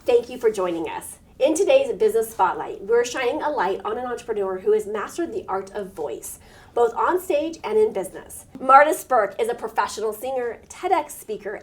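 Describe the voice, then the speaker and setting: A woman hosts a business talk show. Her voice moves a lot in pitch and has a lively lilt. She sounds upbeat and encouraging as she asks questions. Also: normal speed. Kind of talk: scripted intro, then casual interview.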